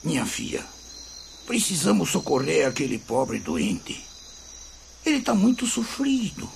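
An actor playing a humble, devout country labourer and father in a radio drama, with a rustic Brazilian accent and warm folksy manner. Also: a little high, wildly swooping pitch, measured pace, vocal fry, exaggerated voice. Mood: kindly, devout. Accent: rural Brazilian. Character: humble, devout rural labourer, kindly father spirit visitor. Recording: faint noise with crickets behind.

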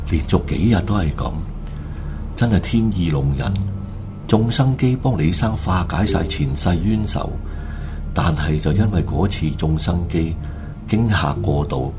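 A man's voice: deep voice, background noise. A man with a Hong Kong Cantonese accent narrating a scripted radio drama story.

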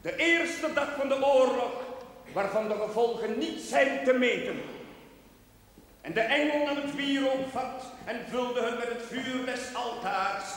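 An actor playing an earnest priest who preaches solemnly and declaims in a television period drama. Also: male voice, very high voice, a little slow, fairly bright tone. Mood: solemn, fervent. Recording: echoey church hall with long reverb, faint noise.

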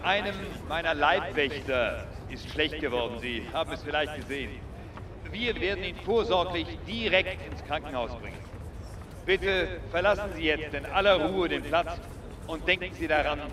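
A man's voice: on the high side, normal speed, noisy background, natural voice. An actor playing a male government minister giving an emphatic political speech in a radio drama. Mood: shaken, calming. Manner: oratorical, emphatic, repeats phrases for effect. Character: male politician, interior minister, rousing stump speaker. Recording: roomy outdoor PA, distant, music and crowd behind.

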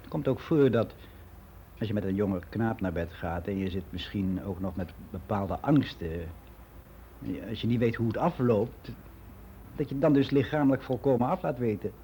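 Mellow-voiced, candid older man, played by an actor. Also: fairly low pitch, slight background noise, close to an everyday voice. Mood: candid, confiding. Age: 60s. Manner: frank, reflective, halting with self-corrections. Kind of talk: confessional monologue in a radio play.

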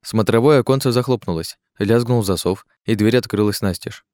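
A man reading an audiobook in steady, descriptive scripted narration. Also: fairly low pitch, normal speed, no echo.

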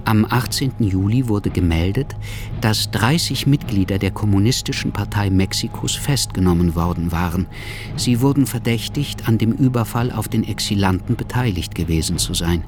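A man narrates a radio drama in a low-pitched voice. The delivery is scripted storytelling. Music runs clearly beneath his words. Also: moderate pace. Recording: studio production with music underneath.

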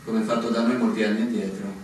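An actor playing a brooding, solemn army officer in a theatrical radio drama, declamatory with dramatic pauses. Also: male voice, lowish voice, level tone, darkish tone, slight background noise, lightly acted. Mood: formal announcing. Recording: echoey, distant miking, music behind.

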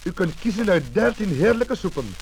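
High-pitched male commercial announcer. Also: sing-song, moderate pace, a little mellow, rough recording. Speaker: advertising announcer. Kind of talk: scripted radio commercial narration. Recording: old lacquer test disc, surface hiss.

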